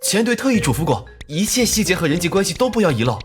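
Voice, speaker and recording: An eager young junior cop in an animated crime drama. His lightish, fairly high voice jumps expressively, earnest and excitable. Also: fast, faint music behind. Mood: anxious, placating.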